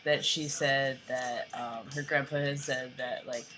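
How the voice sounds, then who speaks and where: A young man with a light, fairly high voice talking in a rambling, casual vlog, full of "like" and "you know". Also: steady tone, measured pace, pushed and bright, faint music behind. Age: young adult. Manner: rambling, filler-heavy, lots of "like" and "you know". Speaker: vlogger.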